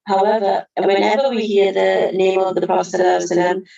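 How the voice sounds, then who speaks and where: A woman's voice: medium pitch, completely flat delivery, fast talker, vocal fry, dark tone, dull audio. A woman giving an earnest Qur'an study lesson, explaining verses carefully with reverent honorifics. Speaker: religious teacher.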